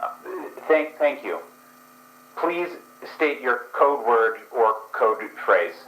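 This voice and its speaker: Figure: man playing a halting dream investigator. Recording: echoey. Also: mid-range voice, animated, a bit bright. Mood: formal. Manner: halting, stumbles over words. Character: hesitant, procedural male dream investigator. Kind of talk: actual play character voice.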